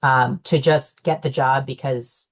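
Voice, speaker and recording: Young woman panelist, low voice, chatty with lots of fillers, casual panel talk. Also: steady tone, measured pace. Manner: chatty, many fillers and restarts, laughs often. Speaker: panelist, owner's daughter in the business.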